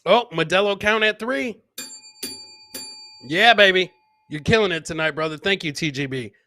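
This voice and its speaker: A man's voice: sits high, normal speed, sharp tone. A man hosting a podcast, chatting casually and informally with swearing and plenty of filler words. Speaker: podcast host. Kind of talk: casual live comedy chat, reading and answering viewer comments.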